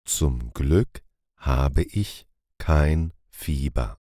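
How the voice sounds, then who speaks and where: A man reading short phrases calmly and deliberately for a language lesson, repeating each one. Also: extremely low pitch, dry recording, studio quality. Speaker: language teacher.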